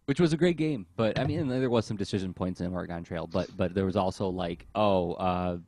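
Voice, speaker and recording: Lowish-voiced man chatting on a podcast. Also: fairly quick, darkish tone, no room sound, clean studio sound. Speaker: podcast host. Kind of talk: casual podcast discussion.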